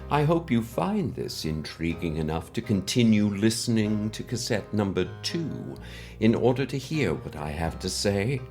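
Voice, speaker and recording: Man playing pompous mysterious benefactor, lively low voice. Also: soft background music. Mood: grandiose, secretive. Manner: pompous, theatrical, wordy, with dramatic pauses. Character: mysterious pompous anonymous benefactor, male, speaking on cassette. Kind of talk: scripted comic monologue.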